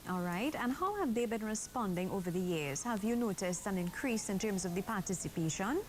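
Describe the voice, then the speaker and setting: A woman's voice: medium pitch, lively intonation, brisk. A woman hosting a morning broadcast interview. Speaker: morning show host. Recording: clear background noise.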